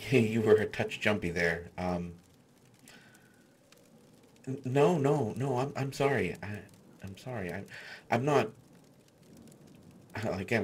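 A man playing a gentle, awkward human artificer in a fantasy audio roleplay, stammering and hesitant as he speaks. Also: fairly low pitch, slight background noise. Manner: stammering, hesitant, soft-spoken reassurance.

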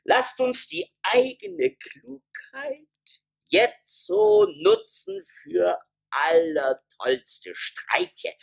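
An actor playing a cunning, grandiose brewer in a radio play, declaiming pompously to townsmen. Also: male voice, very high voice, wildly swooping pitch, drawn-out words, gravelly, exaggerated voice. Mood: sly, pompous. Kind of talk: German radio play oratory.

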